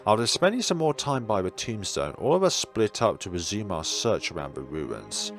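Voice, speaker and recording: Male reader, low register, lively intonation, narrating a visual novel over light music. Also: normal speed. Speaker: video game player reading the story aloud.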